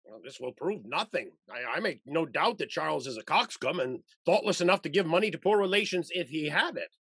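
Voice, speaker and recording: A man acting an effusive, stubborn old married gentleman with a raspy voice in a period stage comedy. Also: fairly high pitch, lively intonation, fairly quick, no echo, exaggerated voice. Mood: insistent. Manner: effusive, exclamatory. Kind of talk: theatrical period comedy acting over video call. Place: indoors, on a video call.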